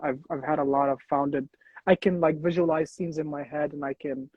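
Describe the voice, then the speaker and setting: Husky American man, casual interview host. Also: on the high side, fairly even intonation, moderate pace, darkish tone, dry recording. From United States. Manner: rambling, restarts often. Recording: dull lo-fi sound.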